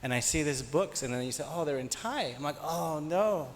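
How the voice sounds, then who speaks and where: Guy from the audience asking, earnest, kinda self-mocking, echoey room w/ low rumble. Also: lively intonation, normal speed. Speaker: audience member asking a question. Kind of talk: audience question.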